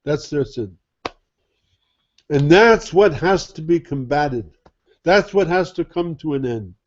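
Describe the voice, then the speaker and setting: A man's voice: on the high side, expressive, slow. A man giving an informal sermon as a Sufi teacher, talking conversationally and asking rhetorical questions. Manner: conversational, rhetorical questions, mimics others' attitudes. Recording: dull lo-fi recording.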